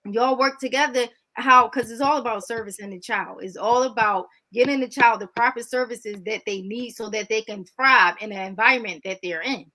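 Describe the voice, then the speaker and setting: A woman's voice: fairly high pitch, normal speed, few pauses, sharp tone, rough recording. A woman answering as an interview guest in an informal, candid way, repeating points for emphasis.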